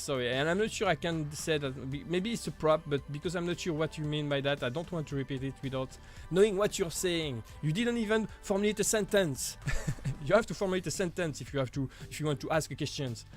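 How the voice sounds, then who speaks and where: High-voiced man chatting on a live stream. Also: a bit bright. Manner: chatty, halting, with frequent small laughs and "you know". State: voice deepened while getting over an illness. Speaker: live stream host answering viewer questions. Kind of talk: casual live Q&A chat.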